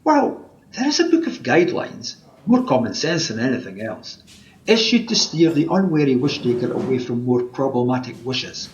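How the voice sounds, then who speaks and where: An actor playing a fussy, officious wish-granter with a pedantic, chatty manner in a radio play. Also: male voice, medium pitch, big pitch swings, moderate pace, close to an everyday voice. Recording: muffled home recording, background noise.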